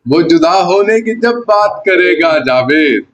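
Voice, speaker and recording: A man, a poet, reciting ghazal verse in a deliberate manner, repeating lines for emphasis. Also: a little high, lively intonation, fairly bright tone, muffled recording. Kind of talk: Urdu ghazal poetry recitation. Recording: clear background noise.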